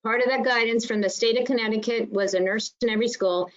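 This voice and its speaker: A woman's voice: fairly high pitch, fairly even intonation, quick pace. Muffled meeting audio, emphatic explaining woman. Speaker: school nurse coordinator on a panel. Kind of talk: informal spoken presentation and discussion in an online meeting. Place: online meeting call.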